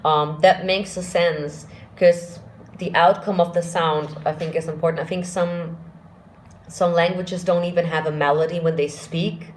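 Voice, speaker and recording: A deep-voiced woman vocal coach chats through viewer questions on a live stream. Her voice sits fairly low. She talks loosely, restarts her sentences and laughs easily. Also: steady tone, measured pace, slight background noise. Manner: chatty, thinks aloud with restarts, laughs often. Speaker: vocal coach and channel host. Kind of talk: casual live-stream Q&A answering chat.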